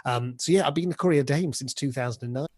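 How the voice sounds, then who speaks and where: A man's voice: mid-range voice, quick pace, a little mellow, no echo, studio quality. A man chatting and explaining as an interview guest, with a jokey, talkative manner. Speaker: interview guest, pantomime dame and director. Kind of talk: casual explanatory interview talk.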